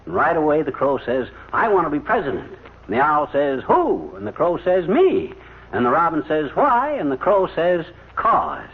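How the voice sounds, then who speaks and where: A man's voice: on the high side, wildly swooping pitch, normal speed, phone-quality sound. A man playing a folksy, patient storyteller who spins tales for a child in homely phrases, in old-time radio comedy style. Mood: playful storytelling. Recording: thin, hissy old radio recording.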